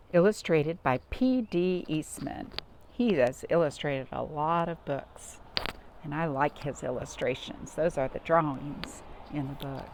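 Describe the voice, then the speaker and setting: Female children's storytime host, lowish animated voice, unhurried, some background noise. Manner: addresses young children with simple questions. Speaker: library storytime host and reader. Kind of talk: children's storytime, chatting and reading aloud. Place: at home, in a cottage.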